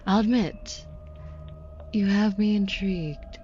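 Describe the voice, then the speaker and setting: A woman's voice: medium pitch, slow pace, warm tone, faint music behind, lightly acted. A woman playing a haughty, flirty, sardonic demon buyer in a scripted audio roleplay, drawling with condescension. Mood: intrigued, haughty. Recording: muffled, with audible background noise.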